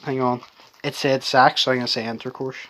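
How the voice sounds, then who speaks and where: A man with a bright, forceful voice hosts a casual podcast, reading silly material in a loose, giggly way. His pitch is mid-range, and faint noise sits behind this home recording. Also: flat delivery, a little fast. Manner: breaks into giggles while reading. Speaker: podcast host. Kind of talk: casual chat and comic story reading.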